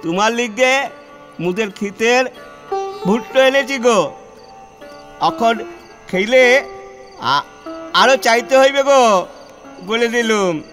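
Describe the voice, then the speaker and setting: A man playing a simple, eager rustic villager with a rural Bengali dialect in an audio play, deferential and halting, often repeating himself. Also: very high-pitched, a bit bright, faint music behind, pitched above the performer's own voice, full character voice. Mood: warm, offering. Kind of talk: solo audio-play acting.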